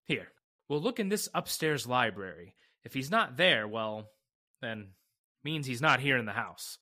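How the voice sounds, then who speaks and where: A man playing a brisk, skeptical lawyer, commanding and dismissive, in old-time radio horror style. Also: medium pitch, very animated, sharp tone, exaggerated voice. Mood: brisk concern. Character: brisk, skeptical male lawyer searching a haunted house.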